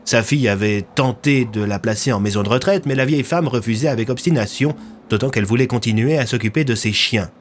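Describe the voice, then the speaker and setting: A man's voice: mid-range voice, fast, a little hiss or hum. A man narrating a scripted audio drama as a storyteller.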